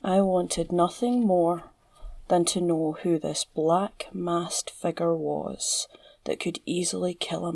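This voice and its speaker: Woman playing a slow, gravelly Scottish female villain, obsessive. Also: fairly low pitch, a little mellow, dry recording, full character voice. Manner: teasing, drawling, slipping into breathy murmurs. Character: obsessive, flirtatious, psychotic female villain, captured crime boss. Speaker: audio roleplay voice actor. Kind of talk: ASMR villain roleplay monologue.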